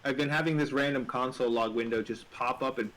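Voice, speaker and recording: A man's voice: mid-range voice, fairly even intonation, fast talker, a bit bright, poor audio. A man in casual, informal conversation, given to swearing. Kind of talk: casual chat. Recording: faint noise, keyboard clicks. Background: typing and clicks.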